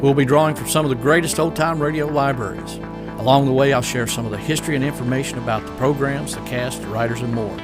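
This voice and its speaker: Medium-pitched man hosting, light music behind. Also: quick pace. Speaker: podcast host. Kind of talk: scripted podcast intro and ad read.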